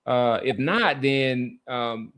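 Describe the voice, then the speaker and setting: A man hosting a podcast, talking casually about sports and society in an earnest, halting way with repeated words. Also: medium pitch, fairly bright tone. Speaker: podcast host. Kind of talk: casual sports talk and commentary.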